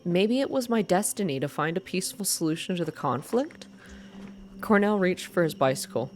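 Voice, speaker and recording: A woman's voice: lowish voice, lively intonation, moderate pace, darkish tone, a little hiss or hum. A woman reading a narrative story aloud in an ASMR style. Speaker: reader.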